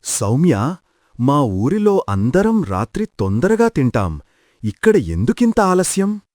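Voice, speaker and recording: A man's voice: a little high, wildly swooping pitch, slow pace, darkish tone, no room sound, clean studio sound, exaggerated voice. A man voicing an elderly, old-fashioned village father-in-law who grumbles in a cartoon family story. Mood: puzzled grumbling.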